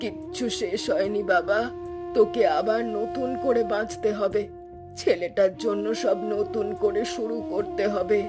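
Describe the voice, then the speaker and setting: A woman's voice: mid-range voice, fairly even intonation, unhurried, natural voice. A woman voicing an older village aunt who is stern and caring, scolding yet motherly in her way of talking. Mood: consoling. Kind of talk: Bengali cartoon dubbing. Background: loud background music.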